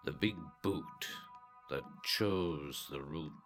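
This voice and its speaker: A man reading a literary story aloud in a solemn, deliberate way, breaking phrases with long pauses. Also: very deep voice, a bit bright, faint music behind. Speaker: narrator reading a translated story.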